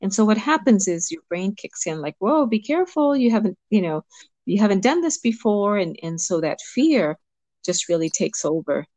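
A middle-aged woman from Cuba, a guest coach, speaking thoughtfully with ums and pauses. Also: medium pitch, sing-song, no echo. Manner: warm, reflective, pauses with ums. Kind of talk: interview conversation.

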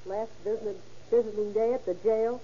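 An actress playing a tough, sassy convict's wife who stonewalls questioners in a 1930s radio crime drama. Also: female voice, a little high, steady tone, normal speed, mellow, close to an everyday voice. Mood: defiant. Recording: muffled, noisy old radio recording.

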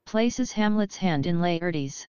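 Very smooth, lowish-pitched woman narrator. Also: animated, slow pace, dark tone, no room sound, dull audio. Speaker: stage-direction narrator. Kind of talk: scripted narration of an audioplay.

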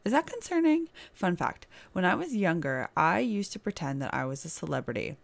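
A woman's voice: lowish voice, big pitch swings, fairly quick, gravelly. A young woman hosting her own podcast from a house, chatting casually and rambling with lots of "like", sounding stuffy-nosed with a sore throat. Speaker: podcast host. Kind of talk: casual solo podcast chat. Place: boyfriend's house, indoors.

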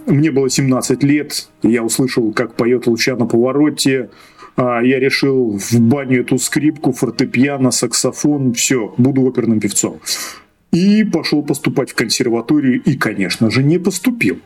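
A man's voice: medium pitch, normal speed, darkish tone, breathy edge. A man in his thirties from Russia, a podcast guest telling his story in a hesitant way, restarting phrases and laughing often. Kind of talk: casual podcast chat.

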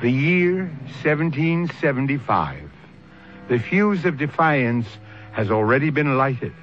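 A man narrating an old-time radio drama as host, in a portentous, theatrical, deliberate way. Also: medium pitch, sing-song. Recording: dull old radio broadcast recording. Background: music.

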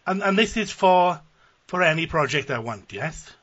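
A man with a Welsh accent making a prank phone call, deadpan and mock-earnest about absurd plans. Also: fairly high pitch, moderate pace. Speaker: prank caller. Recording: dull lo-fi audio.

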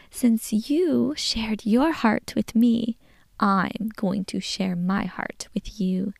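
Woman whispering as a tender, loving Jesus writing to a child, slow, gravelly. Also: medium pitch, no room sound, clean studio sound, exaggerated voice. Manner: soft whisper.

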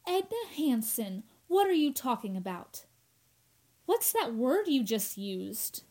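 An actress plays a well-informed, reasonable young woman in old-time radio style. Her high voice moves at a slow pace with very animated intonation. She explains things in a theatrical way. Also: exaggerated voice. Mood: firm, scolding.

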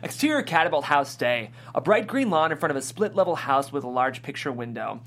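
A man's voice: a little high, sing-song, fairly quick, fairly bright tone. A man giving scripted narration, reading stage directions at a table read.

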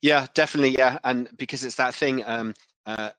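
A man hosting a casual interview, halting and repeating words as he forms his thoughts. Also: medium pitch, fairly even intonation, fairly bright tone, no room sound. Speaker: podcast host.

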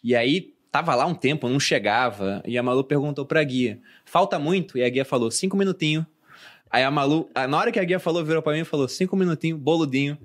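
A Brazilian man co-hosting a podcast, explaining things and telling stories in casual chat, getting animated as he goes. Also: on the high side, quick pace. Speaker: podcast co-host.

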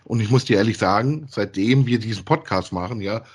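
Husky low-voiced man. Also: fairly quick. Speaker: podcast co-host. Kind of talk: casual chat about audio dramas.

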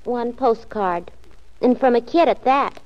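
A woman plays a devoted, scatterbrained young secretary in a vintage radio drama. Her high voice is earnest, chatty and naive. The sound is dulled, as on a 1940s recording. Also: a little slow, muffled recording, natural voice.

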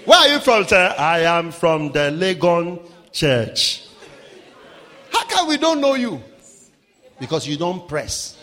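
A man from Africa preaching a sermon, swinging between shouted lines and low, quiet asides. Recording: live sermon with long hall reverb.

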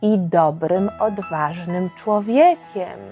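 A woman's voice: on the low side, takes it slowly, warm tone, soft background music. A woman narrating history for children as a podcast host, explaining things and addressing listeners directly.

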